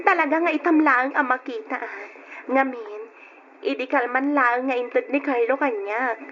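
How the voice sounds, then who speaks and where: A woman's voice: sits high, unhurried. A woman playing an affectionate young bride-to-be in an Ilocano radio drama, with an Ilocano accent and a sweetly emotional manner. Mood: giddy, happy. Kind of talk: old-style Ilocano radio drama acting. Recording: muffled, noisy radio drama recording.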